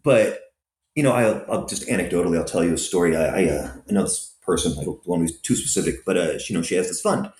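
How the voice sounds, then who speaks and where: A man's voice: low voice, fast talker, reverberant. A man from Southern California, a restaurant operator and investor, talking through anecdotes in an interview with lots of fillers. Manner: anecdotal, many fillers, lively storytelling. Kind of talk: conversational interview answers.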